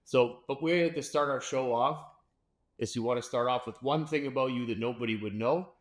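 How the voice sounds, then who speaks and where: A man's voice: medium pitch, brisk. A man hosting an interview podcast, upbeat and enthusiastic, with frequent fillers and restarts. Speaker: podcast host. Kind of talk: casual interview chat.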